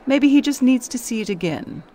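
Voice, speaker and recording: Dry, animated schemer. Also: female voice, a little high, normal speed. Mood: confident gossiping. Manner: dry, self-assured. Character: brisk, all-knowing woman schemer, self-assured and dry. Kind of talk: comedic audio drama acting.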